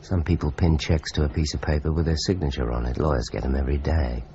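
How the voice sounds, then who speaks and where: An actor playing a police inspector who reasons through a case aloud in a measured, analytical way, in a radio drama. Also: male voice, rumbling low, steady tone, mellow, muffled recording, a little hiss or hum. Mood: thoughtful.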